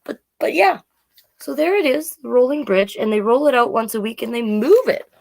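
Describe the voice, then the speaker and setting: A woman's voice: fairly high pitch, expressive, moderate pace, gravelly, darkish tone. A woman hosting a children's storytime livestream, chatting casually and reading out facts, often getting sidetracked and correcting herself.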